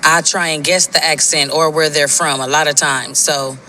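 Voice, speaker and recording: A woman guest on a podcast, talking in a relaxed, colloquial American way. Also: deep voice, moderate pace, a little hiss or hum. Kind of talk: casual conversation.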